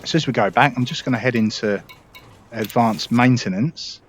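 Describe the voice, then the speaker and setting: A man hosting a casual gameplay tutorial, relaxed and explanatory, talking viewers through each step. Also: mid-range voice, moderate pace. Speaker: gaming video host.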